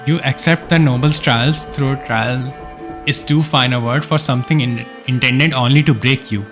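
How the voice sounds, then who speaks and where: A man's voice: mid-range voice, steady tone, moderate pace. A man with a mid-range voice is streaming a game. He reads the story text aloud, then breaks off into casual, chatty reactions. Music plays faintly behind him.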